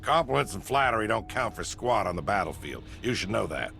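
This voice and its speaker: Deep-voiced gruff veteran commander. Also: male voice, big pitch swings, brisk, bright, forceful tone, exaggerated voice. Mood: blunt, measured. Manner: blunt, dry, mentoring. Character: gruff, battle-hardened older human military commander. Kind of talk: video game voice acting. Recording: game music behind the voice.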